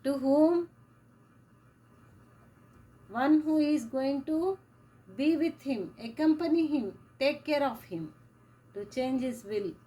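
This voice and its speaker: A woman teacher giving a slow lecture, repeating key phrases as she explains. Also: high-pitched, mellow, rough recording. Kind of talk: classroom lecture.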